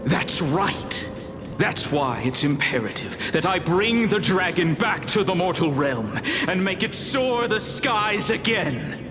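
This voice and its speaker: Game role: solemn weary scholar, fairly high male voice, unhurried and portentous, music underneath. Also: animated. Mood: grave, resolute. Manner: formal, portentous, measured. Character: weary, grave scholar entrusting a final great task. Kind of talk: dramatic video game acting.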